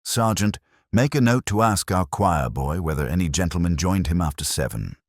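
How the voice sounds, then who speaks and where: Man playing a calm police inspector, very deep voice. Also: moderate pace, no echo, studio quality. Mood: dryly wry. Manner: gentle, even, quietly authoritative. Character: gentle, unruffled, dryly witty male police inspector.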